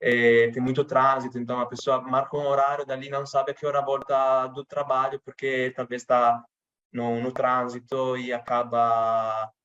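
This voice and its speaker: A man from Italy speaking with an Italian accent, chatting casually in a podcast interview with many pauses and restarts. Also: medium pitch, flat delivery, a bit bright, lo-fi sound. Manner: hesitant, many restarts and fillers. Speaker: interviewee, channel host.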